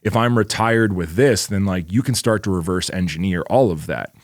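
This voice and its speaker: A man's voice: deep voice, normal speed, no echo, clean studio sound. A man around forty co-hosting a podcast, talking casually in a rambling way with lots of "like" and freely dropped swear words. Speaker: podcast co-host. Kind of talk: casual chat.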